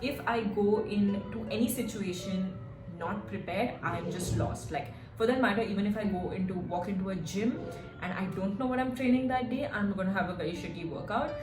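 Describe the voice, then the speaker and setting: A young woman in her twenties chats to camera as a fitness coach, telling her stories in a lively, animated way. Her mid-pitched voice rings around a reverberant room. Music plays clearly underneath. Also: fairly quick. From Singapore. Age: 20s. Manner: chatty, animated storytelling with frequent "like" and "right?". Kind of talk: casual vlog chat. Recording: echoey room with music behind.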